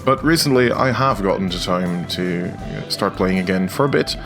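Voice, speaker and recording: A man's voice: low voice, expressive, moderate pace. A young Dutch man with a Dutch accent, talking casually over his gameplay video, hesitant and full of filler sounds. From Netherlands. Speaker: video game creator. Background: faint guitar music.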